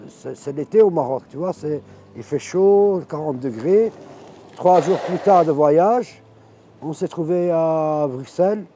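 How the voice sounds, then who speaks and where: A man in his fifties from Morocco, an Arabic speaker, telling his life story in a reflective, halting way. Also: on the high side, warm tone, slight background noise. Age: 50s. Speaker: interviewee. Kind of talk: personal life testimony.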